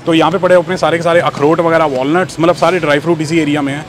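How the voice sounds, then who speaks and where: Fast-talking man vlogging in a supermarket. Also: on the high side, fairly even intonation, noisy background. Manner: chatty, reads out prices to camera. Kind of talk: casual shopping vlog. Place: large supermarket, indoors. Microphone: phone held at arm's length.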